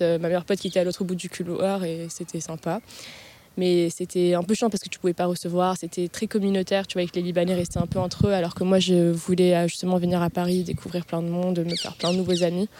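A woman's voice: fairly low pitch, warm tone, slight background noise. A woman in her mid-twenties from Lebanon, chatting as a guest in a casual interview, hesitant and full of fillers, holding a handheld mic outdoors in a park. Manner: hesitant, many fillers and restarts.

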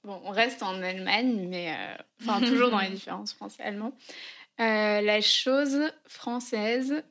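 A woman's voice: mid-range voice, normal speed, a bit bright. A young woman from France, co-hosting a podcast in casual chat, hesitant and thinking aloud with lots of fillers. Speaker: podcast co-host.

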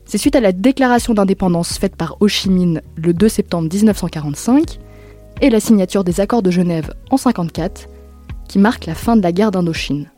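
Woman podcast host, from France, steady scripted read, mellow voice, dry sound, faint music behind. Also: medium pitch, fairly quick, dry recording. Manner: clear, steady reading. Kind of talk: scripted introduction and interviewing.

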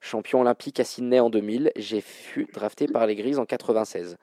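A man with a mellow, relaxed voice hosts a sports trivia game. His delivery is informal and full of colloquial slang, and the sound is close and dry. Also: medium pitch, brisk, dry recording. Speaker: quiz show host. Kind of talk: hosting a basketball trivia quiz, casual banter.

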